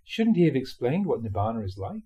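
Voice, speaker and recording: A man reading Buddhist teachings aloud like a monk giving a dharma talk, with measured, emphatic phrasing. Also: mid-range voice, big pitch swings, warm tone, breathy edge, muffled recording.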